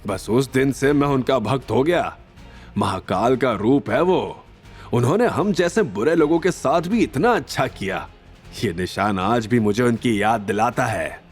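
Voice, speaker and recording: A man's voice: mid-range voice, lively intonation, a little slow, slightly rough, faint music behind. A man voicing a boastful reformed underworld hitman in an audio drama, speaking in a dramatic, awed storytelling manner. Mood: awed, reverent.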